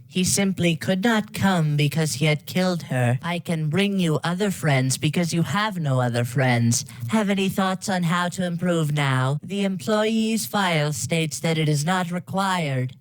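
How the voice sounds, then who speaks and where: A woman's voice: on the low side, measured pace, hardly any pauses, no room sound, poor audio. A woman's synthetic voice reading scripted game lines in a matter-of-fact way.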